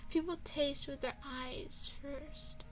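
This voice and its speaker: A woman's voice: high voice, fairly even intonation, takes it slowly, music in the background. A woman voicing an elegant, seductive vampire chef in an ASMR roleplay, speaking formally with refined, teasing poise, close to the microphone. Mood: gracious, poised. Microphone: close binaural microphone.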